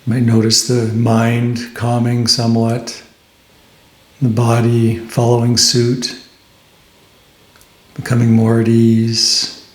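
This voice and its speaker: A man guides a meditation in a very even, level voice. He speaks slowly and gently, leaving pauses between phrases as a teacher would. The sound is roomy, with an audible echo around his mid-pitched voice. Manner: gentle, unhurried instruction with pauses.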